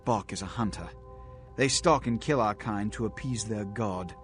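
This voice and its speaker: An actor playing a haughty, scheming vampire prince in a video game, speaking in a formal, commanding, theatrical way. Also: male voice, fairly low pitch, moderate pace, soft background music, lightly acted. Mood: grave, lecturing. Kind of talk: video game character acting.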